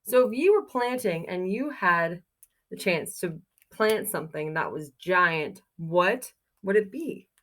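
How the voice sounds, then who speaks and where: A woman's voice: fairly low pitch, animated, unhurried, fairly bright tone. A woman doing a children's read-aloud like a teacher, reading expressively in a sing-song way and putting simple, encouraging questions to her young listeners.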